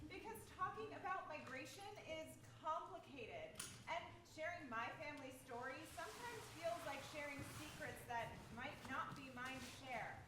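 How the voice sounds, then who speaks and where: A woman's voice: high voice, animated, normal speed, background noise. A woman giving a thoughtful, reflective stage monologue. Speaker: stage performer. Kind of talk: scripted theatre monologue. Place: theatre stage. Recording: roomy echo from far off.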